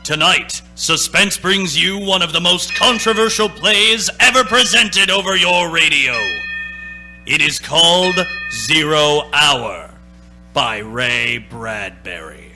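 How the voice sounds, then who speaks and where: An actor playing a dramatic old-time radio suspense announcer, theatrical and deliberate. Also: male voice, a little high, animated, over music. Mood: grand, ominous.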